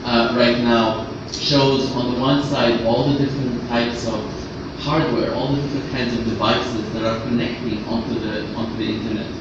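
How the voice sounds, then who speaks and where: A man raised in Austria giving a workshop presentation in a halting way, often repeating words as he goes. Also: mid-range voice, flat delivery. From Austria, born in Egypt. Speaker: conference speaker, web standards specialist. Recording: echoey, noisy room, distant muffled microphone.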